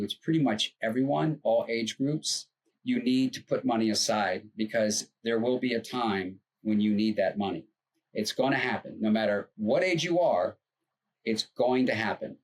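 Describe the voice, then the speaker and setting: A man's voice: on the low side, moderate pace, breathy edge, low-quality recording. A man co-hosting a podcast, talking earnestly and at length about money, with restarts and fillers. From United States. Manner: rambling, earnest, explains at length. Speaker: co-host, financial advisor. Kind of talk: casual podcast chat.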